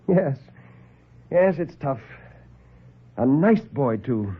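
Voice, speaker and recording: Man acting a high-voiced genial medic, tinny radio. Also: very animated, drawn-out words, slightly rough, heavily acted. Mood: sympathetic, chatty. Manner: affable, chatty. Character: genial, chatty male army medical officer. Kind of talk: 1950s radio horror acting. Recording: tinny vintage radio recording.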